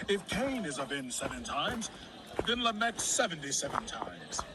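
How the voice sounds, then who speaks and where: An actor playing a boastful, vengeful biblical patriarch in a declamatory audio Bible drama. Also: male voice, a little high, sing-song, moderate pace, heavy background noise. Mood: boastful, menacing.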